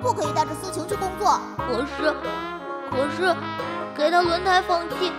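A tiny, childlike inner-conscience sprite, with a very high squeaky voice. It bickers in a cutesy audio drama style while music plays loudly around it. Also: moderate pace. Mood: wavering, pleading.

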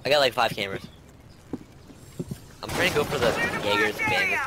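A man's voice: medium pitch, wildly swooping pitch, a little fast. Heavy noise, with clicks and gunfire, surrounds a man on gaming voice chat. He talks casually to his teammates as he plays. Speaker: video game player on team voice chat.

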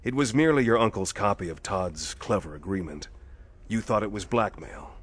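An actor playing a quiet, authoritative police detective in a pulp thriller audio drama, explaining things evenly and methodically. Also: male voice, fairly low pitch, lively intonation, normal speed. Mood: grave, explaining.

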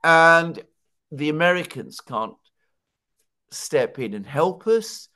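A man's voice: fairly high pitch, animated, slow, sharp tone. A man in his sixties giving conversational political analysis, explaining emphatically and posing rhetorical questions. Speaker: political commentator. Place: home study with bookshelves. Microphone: headset on video call.

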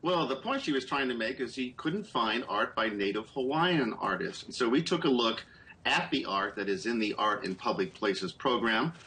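A middle-aged man, a political reporter, explaining stories in a measured way during a live news interview over a video call. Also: mid-range voice, expressive, pushed and bright, a little hiss or hum, rough recording. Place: small room, indoors. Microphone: video call webcam.

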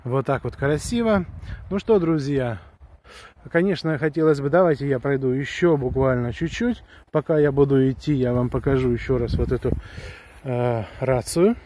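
A man's voice: medium pitch, moderate pace, dark tone, slight background noise. A man chatting casually and enthusiastically to viewers in a rambling, slangy way, recorded outdoors on a handheld phone. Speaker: vlogger reviewing a walkie-talkie. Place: outdoors on a grassy hillside with pines.

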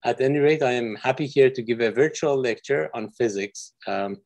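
A man's voice: medium pitch, normal speed, darkish tone. Man from Iran giving an online physics lecture. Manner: friendly, explanatory, with frequent fillers. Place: office with windows. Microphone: video call.

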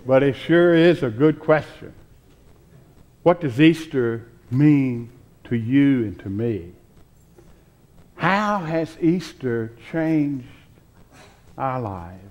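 An older man in his sixties delivers a sermon from a church pulpit. His pace is very unhurried and his pitch sits in a middle range for a man. He sets out short phrases deliberately, with pauses between them. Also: lively intonation, darkish tone, highs cut off. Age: 60s. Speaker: pastor. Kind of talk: sermon and spoken prayer.

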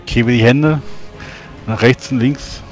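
A man's voice: medium pitch, a little slow. A man playing a tabletop game, describing his character's actions. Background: music.

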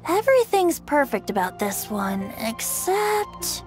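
Young male game bard, high voice, slow, playful, wheedling. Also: sing-song, faint music behind, heavily acted. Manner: wheedling, breezy and teasing.

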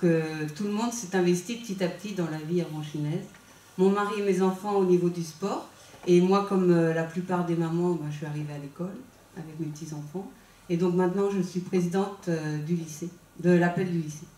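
Woman, forties, standing and introducing herself at a campaign meeting; chatty, full of pauses, mellow, slightly low voice, echoey hall taken from a distance. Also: steady tone. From Vendée, France. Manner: chatty, with many hesitations. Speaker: substitute candidate at a public meeting. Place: small meeting room, indoors. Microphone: handheld mic, filmed by a far camera. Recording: echoey room heard from afar.

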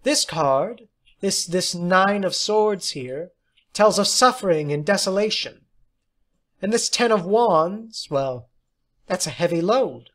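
A man's voice: high-pitched, lively intonation, fairly bright tone, voice pushed up, full character voice. A man voicing an eccentric woman schoolteacher who tells fortunes, dreamy and portentous, in an audiobook story. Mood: grave, dramatic. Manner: theatrical, deliberate, portentous. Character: eccentric woman teacher telling children's fortunes, dreamy, eerie.

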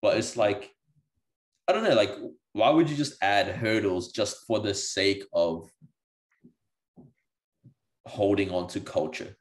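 A man co-hosting a casual podcast over a video call, talking in a relaxed, slangy way. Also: lowish voice, slow pace, long pauses. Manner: relaxed, slangy, conversational, says "bro" and "like" often. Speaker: podcast co-host. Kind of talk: casual group discussion.

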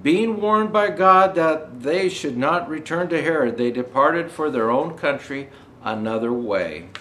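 An older man in a Santa role reading a children's storybook aloud, deliberately and with expressive rises on his phrases. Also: a little high, a little hiss or hum. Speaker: storyteller dressed as Santa Claus.

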